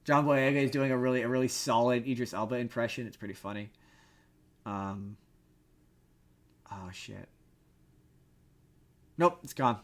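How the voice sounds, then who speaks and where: A man talks through a film in a loose, conversational podcast style. His delivery is slangy and rambling, often doubling back on words, at an ordinary middle pitch. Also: fairly even intonation. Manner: informal, slangy, halting and rambling explanation with repeated words. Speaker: podcast co-host. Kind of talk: casual movie review chat.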